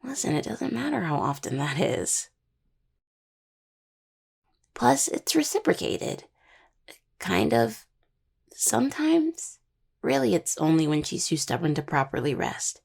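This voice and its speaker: A young woman playing a caring, teasing necromancer healer in an intimate audio roleplay, with a husky voice and conversational delivery. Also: medium pitch, sing-song, a little slow, long pauses, darkish tone, exaggerated voice. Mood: playful teasing. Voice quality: husky, gravelly. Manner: hesitant, teasing, full of pet names.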